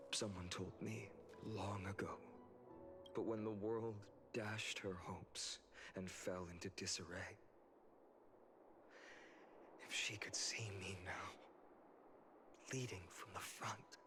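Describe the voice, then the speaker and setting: A solemn young fantasy hero. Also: male voice, on the low side. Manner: measured, resolute, formal. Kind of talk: scripted video game dialogue acting.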